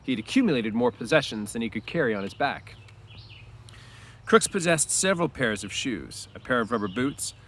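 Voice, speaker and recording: A man narrating a story aloud like a teacher, stopping for informal explanatory asides and clearing his throat. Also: medium pitch, fairly bright tone.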